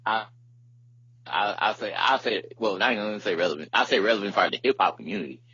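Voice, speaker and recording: A man's voice: on the low side, quick pace, bright, forceful tone, husky, dry recording, dull audio. A man chatting casually as a podcast co-host, in slangy talk that often circles back to repeat itself. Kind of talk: casual chat about hip hop.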